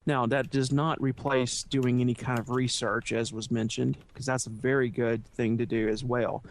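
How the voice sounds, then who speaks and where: A man on a podcast panel giving informal advice in a measured, explanatory way. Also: mid-range voice, flat delivery, creaky.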